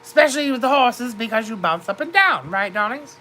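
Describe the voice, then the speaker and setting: A woman's voice: fairly high pitch, animated, brisk, sharp tone, slight background noise. A woman telling a comic story in a theatrical, grandly confiding way, calling her listeners darlings.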